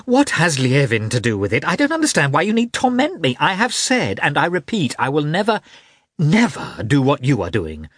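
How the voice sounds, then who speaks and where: A man reading a novel aloud, voicing a proud young woman who flares up into rapid, heated outbursts. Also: fairly high pitch, huge pitch swings, fairly bright tone, breathy edge, dry recording, clean studio sound, pitched above the performer's own voice, exaggerated voice. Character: young woman, proud, hurt and quick-tempered.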